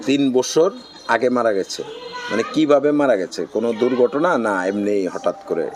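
A man's voice: medium pitch, lively intonation, moderate pace. Bangladeshi male interviewer, noisy recording. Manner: echoes the guest's answers. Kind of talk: informal interview questioning. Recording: clearly noisy background.

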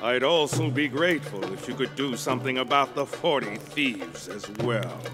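A man's voice: mid-range voice, expressive, normal speed, over music, natural voice. A man playing a pompous, theatrical old skeleton king in a video game, speaking in formal, courtly phrases. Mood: indignant, courtly. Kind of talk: video game cutscene acting.

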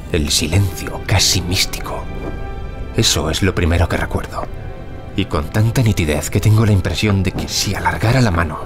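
An actor playing an awed, reflective archaeologist in an audio drama, speaking with solemn, measured wonder. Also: male voice, deep voice, close to an everyday voice. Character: Howard Carter, English archaeologist, awed, intense, reflective. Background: music behind the voice.

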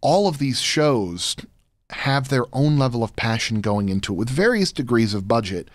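A man's voice: medium pitch, animated, moderate pace, no echo. A man in his thirties talking earnestly and emphatically as an interview guest, close on a studio microphone. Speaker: podcast guest, audio drama creator. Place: small podcast studio, indoors. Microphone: close studio microphone with headphones.

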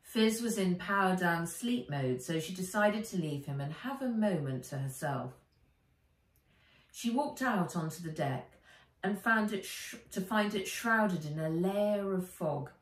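A middle-aged woman, an author reading aloud from a children's storybook in an expressive narrating style. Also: fairly low pitch, unhurried. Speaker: author reading own book. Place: living room or sunroom, indoors. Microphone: camera or phone a few feet away. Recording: roomy, distant home recording.

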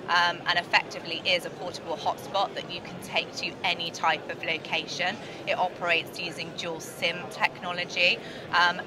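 A middle-aged British woman giving a promotional interview as a company director, explaining in a measured corporate way at a busy trade show. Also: fairly low pitch. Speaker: sales and marketing director being interviewed. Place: large indoor trade show floor. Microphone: handheld interview microphone at chest level. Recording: noisy busy exhibition hall.

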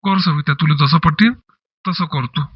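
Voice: mid-range voice, moderate pace, slightly rough, no room sound, dull audio, close to an everyday voice. A performer voicing a resigned, grumbling farmer husband in a family comedy cartoon. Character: weary, resigned village farmer husband and father.

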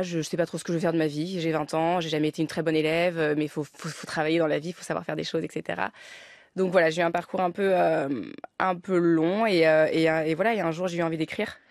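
A woman's voice: sits low, darkish tone, no room sound. Hesitant woman in her thirties, radio studio. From France. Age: 30s. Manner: hesitant, many restarts and fillers. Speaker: film director guest. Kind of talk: radio interview. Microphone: studio mic on arm.

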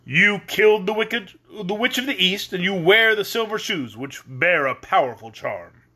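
Man voicing stern giant wizard head, raised pitch. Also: animated, sharp tone, breathy edge, full character voice. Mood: stern, demanding. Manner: commanding, emphatic, drawn-out words. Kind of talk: storybook character reading.

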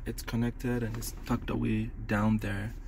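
A man's voice: mid-range voice, little pitch movement, measured pace, vocal fry, a little mellow, a little hiss or hum. A man giving a casual hands-on product demo as a tech reviewer, speaking inside a car.

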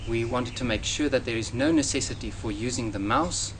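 A man in his thirties with a South African accent, a native Afrikaans speaker, answering interview questions in a measured, earnest way outdoors in front of a hedge. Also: mid-range voice. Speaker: software developer. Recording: outdoor noise with passing traffic.

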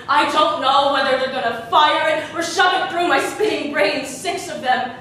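A woman on stage playing a traumatized, grieving Bosnian refugee in a dramatic monologue, speaking in halting phrases with deliberate pauses. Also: a little high, a bit bright, far from the mic. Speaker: stage performer. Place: indoor theater stage. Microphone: distant camera microphone. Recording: echoey stage recording from afar, noisy.

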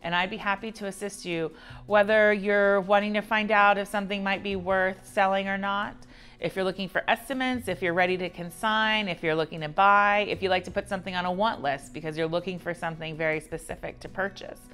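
A woman's voice: medium pitch, fairly even intonation, a little fast, fairly bright tone, clear, resonant tone. An American woman in her thirties giving a polished, conversational promotional talk to camera as an art director. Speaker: auction house art director. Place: indoor office-like studio set. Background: background music.

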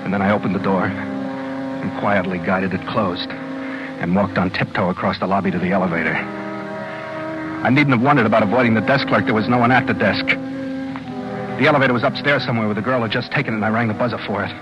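Nervous schemer, hushed careful confession, 1940s radio suspense, dull recording, music behind. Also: male voice, fairly low pitch, big pitch swings, a little fast, long pauses. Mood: anxious, confessing. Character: anxious, secretive man plotting a crime, confessing. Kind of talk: 1940s radio suspense acting, first-person narration.

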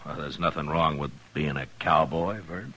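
An actor playing a steady, dry-spoken frontier marshal in a 1950s radio western. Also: male voice, very deep voice, moderate pace, slightly rough, full character voice. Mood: calm, businesslike. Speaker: lead actor and narrator.

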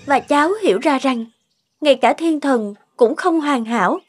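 A gentle, selfless young cartoon girl with a very high voice. She explains things earnestly and politely, over clearly audible music. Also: measured pace. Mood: earnest, serene. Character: gentle, selfless, terminally ill young woman. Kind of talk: sentimental cartoon dialogue. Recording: music behind the voice.

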